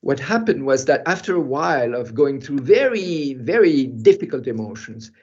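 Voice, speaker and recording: Older man, from Europe, middle pitch, reflective, halting, muffled video call. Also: big pitch swings, husky. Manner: halting, reflective, with soft laughs and restarts. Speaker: guest speaker. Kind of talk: personal storytelling in an online talk.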